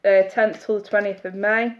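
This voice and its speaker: A woman's voice: medium pitch, moderate pace. A woman reading tarot on a live stream, chatty and congested from hay fever, greeting viewers by name. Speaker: tarot reader. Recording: muffled audio with steady hiss.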